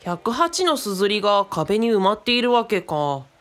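A woman's voice: mid-range voice, very animated, moderate pace, lightly acted. A woman playing a friendly, knowledgeable adult companion in a radio drama, explaining casually and thinking aloud. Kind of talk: conversational radio drama acting.

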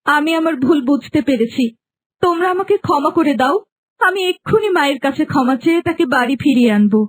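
A woman's voice: sits high, lively intonation, fast, dry recording. A woman playing a bossy, theatrical young daughter-in-law in a cartoon melodrama, with music underneath. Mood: remorseful. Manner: scolding, theatrical.

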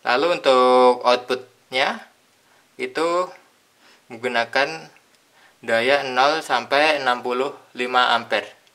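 A young man with a bright, forceful voice walks through a tool's features in a hands-on unboxing demonstration. He speaks in plain steps, pausing between phrases as he handles each part on a tabletop. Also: mid-range voice. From Indonesia. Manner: plain step-by-step explaining with pauses. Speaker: product reviewer. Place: indoors at a tabletop.

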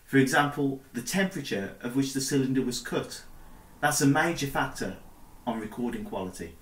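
A man in his thirties with a medium-pitched voice presents a demonstration. He explains things in an informal video made indoors in a house. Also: a little fast, fairly bright tone, a little hiss or hum. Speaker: presenter demonstrating antique recording.

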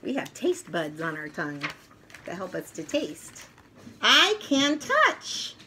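A middle-aged woman reads aloud for young children in a bright, lively voice that swings widely in pitch. Her delivery is expressive and deliberate, like a teacher at storytime. There is faint noise in the room behind her. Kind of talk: picture book read-aloud for young children. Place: furnished room at home, indoors.

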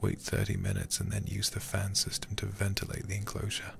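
A man softly whispering close to the microphone in an ASMR game stream, reading text deliberately and murmuring intimate asides to his viewers. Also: very animated, noisy background. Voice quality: breathy whispering, low and gravelly. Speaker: livestreamer playing a horror game.

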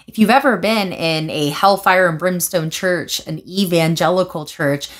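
A young woman with a slightly low voice speaks to camera from a couch at home. She explains her views in an animated way, gesturing as she talks and pausing on fillers and restarts. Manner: thoughtful with frequent fillers and restarts. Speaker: video essayist. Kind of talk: casual commentary vlog. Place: living room, seated on a couch indoors.

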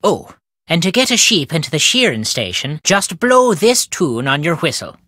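Lilting, gruff old Irishman. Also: fairly high pitch, very animated, moderate pace, pushed and bright, dry recording, studio quality, heavily acted. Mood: brisk, bossy. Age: older. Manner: folksy inverted phrasing, storytelling lilt. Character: gruff, superstitious old Irish countryman, wry and stubborn. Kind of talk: video game character dialogue.

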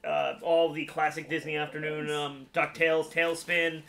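A man with a fairly high, bright voice co-hosts a casual talk. He speaks haltingly and conversationally, often searching for the next word. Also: little pitch movement, gravelly. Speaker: co-host. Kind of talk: casual chat about gaming and toys. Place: room with glass display cases, indoors.

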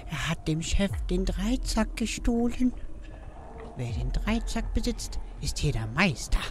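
A woman playing an excitable, fawning demon henchman of the underworld in a German audio drama. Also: mid-range voice, measured pace. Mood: alarmed.

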